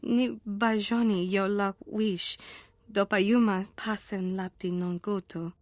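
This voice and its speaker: A woman's voice: mid-range voice, fairly even intonation, slow pace, darkish tone, no echo, clean studio sound, natural voice. A woman playing a defiant, hardened alien warrior in a video game, speaking tersely in an invented tongue. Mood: guarded, subdued. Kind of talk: scripted video game character dialogue.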